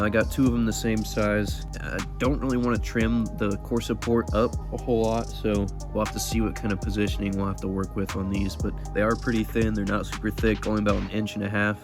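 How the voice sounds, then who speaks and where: A young man in his twenties rambling and joking as he shows parts and fits hoses on a car project, mostly outdoors in a driveway and filmed on a handheld camera. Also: lowish voice. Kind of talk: casual DIY car build vlog.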